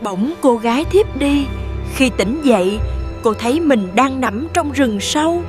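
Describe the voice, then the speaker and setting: A woman's voice: sits high, expressive, unhurried. A woman narrating a scripted fairy tale for children over music. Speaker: storyteller.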